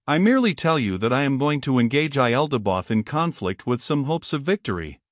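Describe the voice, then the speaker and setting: A man reading the part of a haughty, learned male fallen angel in an audiobook, calm and impassive, in a dry, close sound. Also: mid-range voice, a little fast, no echo, close to an everyday voice.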